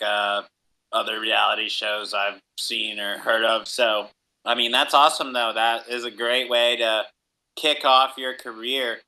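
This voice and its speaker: Male interviewer, mid-pitched, strikingly bright and sharp tone, casual conversational delivery. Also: rough recording. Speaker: podcast host, interviewer. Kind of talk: casual chat.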